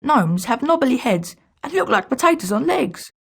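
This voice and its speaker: A woman's voice: sits high, very animated, normal speed, vocal fry, husky, exaggerated voice. A woman playing a gossipy young wizard school student, speaking conversationally in scripted video game lines. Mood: friendly.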